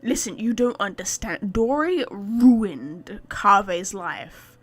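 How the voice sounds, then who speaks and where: A woman's voice: medium pitch, lively intonation, slow pace. A woman live streaming a game, chatting informally to her viewers with wry, casual commentary.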